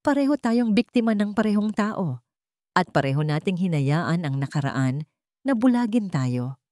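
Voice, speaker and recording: Woman voicing weary, determined young rich man, animated pitch. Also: normal speed, dry recording, studio quality. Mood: weary regret.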